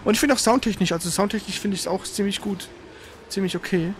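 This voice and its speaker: Man, high voice, casual let's play commentary, colloquial, exclamatory, faint background noise. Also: fast. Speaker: gamer, let's play host. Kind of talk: casual gaming commentary while playing.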